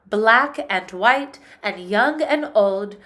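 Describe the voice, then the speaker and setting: A woman music teacher reading a picture book aloud in a slow, clear storytelling manner. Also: medium pitch, lively intonation, a bit bright.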